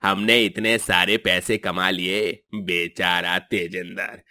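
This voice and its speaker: A man's voice: low voice, flat delivery, slow, bright, forceful tone, no echo, full character voice. A man voicing a leering, gloating village villain in a story, with a mocking drawl.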